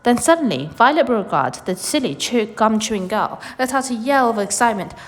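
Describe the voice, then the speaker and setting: Animated woman storyteller in long reverb. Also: a little high, a little fast. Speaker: storybook reader. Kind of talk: expressive read-aloud narration of a children's book.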